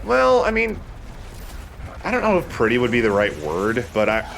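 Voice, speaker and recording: A man hosting a let's play, giving casual, expressive commentary as he plays a shooter. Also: medium pitch, normal speed, vocal fry. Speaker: let's play host, playing a shooter game. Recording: noticeable background noise.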